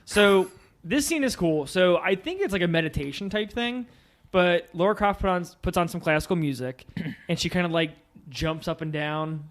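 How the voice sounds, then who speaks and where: A man's voice: sits high, lively intonation, moderate pace, a bit bright. A man hosting a film podcast, talking casually and steering the discussion along.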